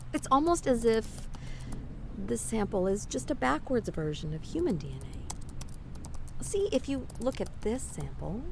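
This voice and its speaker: A woman's voice: mid-range voice, gravelly, slight background noise. A woman playing a wry, skeptical scientist in an audio drama, talking in a dry, sardonic, conversational way. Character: wry, skeptical female scientist, the curator's old friend. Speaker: voice actor.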